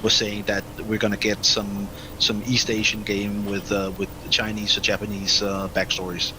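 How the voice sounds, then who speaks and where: A man's voice: fairly low pitch, completely flat delivery, moderate pace, background noise. A man talking as a guest on a casual streamed podcast, playful, often stumbling and restarting his sentences.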